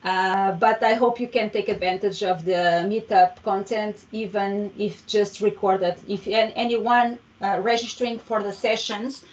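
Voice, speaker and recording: A woman hosting an online meetup, introducing a guest speaker in a friendly, halting way with many fillers and restarts, speaking through a headset on a video call. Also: medium pitch, fairly even intonation. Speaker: meetup host. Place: home room, video call. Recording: distant, dull video call audio.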